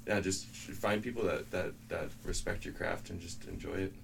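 Very deep, gravelly male musician. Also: steady tone, moderate pace. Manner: hesitant, filler-heavy. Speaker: band member. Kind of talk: casual interview answers. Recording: distant mic with background noise.

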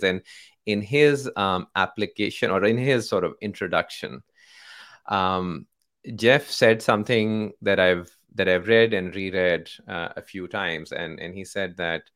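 Host, male, grew up in Pakistan, very low voice, halting delivery full of fillers. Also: a bit bright. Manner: hesitant, many fillers and restarts. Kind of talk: casual podcast conversation.

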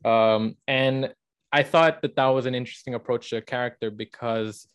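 A man's voice: mid-range voice, steady tone, moderate pace, clear, resonant tone. A young man co-hosting a podcast, explaining and arguing his points in a casual book discussion. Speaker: podcast co-host.

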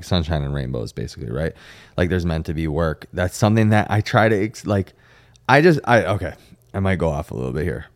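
A man with a low voice hosts a casual podcast chat. He talks informally and with plenty of slang, putting his opinions out plainly. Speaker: podcast host.

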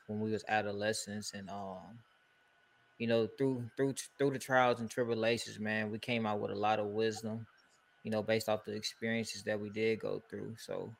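A man's voice: fairly low pitch, creaky. A young man from Houston co-hosting a casual podcast, talking in a laid-back way full of filler phrases. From Houston, Texas. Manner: laid-back, peppers talk with "you know" and "bro". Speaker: podcast co-host.

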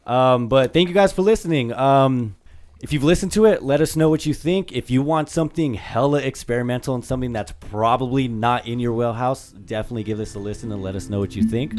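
A young man hosting a casual music podcast, his voice slightly hoarse, laid-back and easygoing. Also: mid-range voice, brisk, darkish tone. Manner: laid-back, laughs easily. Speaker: podcast host. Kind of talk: casual album review chat.

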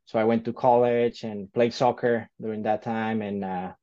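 A man in his late twenties from Colombia, a podcast guest telling his life story, pausing often with fillers and restarts over a remote call. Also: fairly low pitch, fairly even intonation, mellow, muffled recording. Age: late 20s. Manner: hesitant, many fillers and restarts. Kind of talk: casual storytelling interview.

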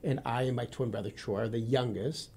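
A man in his fifties from Wisconsin with a Midwestern accent, speaking as a guest in a radio studio interview in a steady, earnest, formal way. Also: medium pitch, fairly quick. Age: 50s. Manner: steady, earnest and formal, addresses the host by name often.

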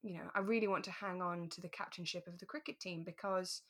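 A British woman co-hosting a relaxed chat podcast, telling stories with acted-out asides. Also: lowish voice, fast. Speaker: podcast co-host. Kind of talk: relaxed chat about a radio drama.

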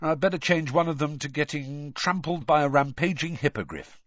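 Audiobook role: male reader as excitable, sceptical teen lad, blunt, theatrical, swooping pitch. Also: normal speed, no echo, muffled recording. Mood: cheeky. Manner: mock-dramatic, blunt. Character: cheeky, sceptical, excitable teenage boy.

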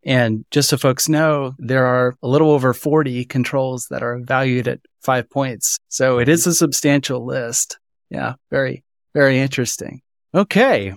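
Male interview host, average pitch, brief and affirming, dry recording. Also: moderate pace, no echo. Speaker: podcast host. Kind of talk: interview hosting.

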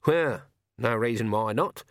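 Brief: man playing a cranky older witch, raised pitch, curt and sarcastic, dry sound. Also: a little slow, no echo, natural voice. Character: cranky older woman witch, sharp-tongued, proud.

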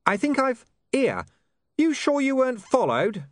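Picture a cocky, sly street dog who can talk, yapping in a high, brash voice. The pitch sits far above a normal man's, and every line is pushed out loud and sharp. The reading is dry and close. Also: wildly swooping pitch, a little slow, no echo, heavily acted.

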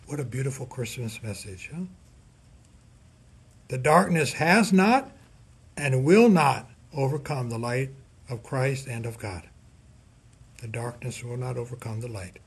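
A man with a husky, slightly rough voice at a middling pitch reads a scripted religious reflection. He speaks deliberately, setting phrases apart with pauses and leaning on key words for emphasis. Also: animated. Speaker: priest giving a scripture reflection. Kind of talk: scripted sermon reflection read aloud.

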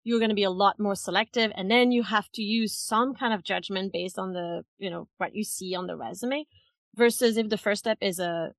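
A woman's voice: medium pitch, brisk, dry recording, clean studio sound. A woman from France, giving thoughtful, explanatory answers as an interview guest in a conversational way. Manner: thoughtful, explanatory, often checks with "right?" and "you know". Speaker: interview guest, head of people at a tech company.

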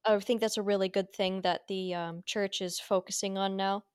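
A woman talks earnestly through her thoughts, restarting sentences as she goes. Her voice is slightly hoarse and strained. The recording is dry and close. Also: medium pitch, little pitch movement, moderate pace, no room sound. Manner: earnest, reflective, with frequent restarts and laughs. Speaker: podcast co-host. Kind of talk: casual faith discussion.

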